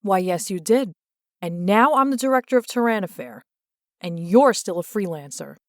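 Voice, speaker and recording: A woman's voice: medium pitch, animated, normal speed, no room sound, clean studio sound, natural voice. A woman playing a curt, officious angelic boss with a clipped, sardonic manner in a comic dub. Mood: indignant. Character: curt, officious female heavenly bureaucrat boss.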